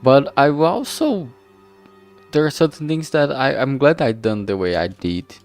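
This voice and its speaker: A man giving a casual, unscripted livestream update in a halting, rambling way, often trailing off mid-phrase. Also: mid-range voice, animated, a little mellow, soft background music. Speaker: streamer, visual novel maker.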